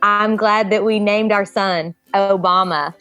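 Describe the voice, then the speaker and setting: A woman's voice: mid-range voice, steady tone, unhurried, fairly bright tone, faint music behind, natural voice. A woman playing a contented wife and mother in a comedic table read. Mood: blissfully content.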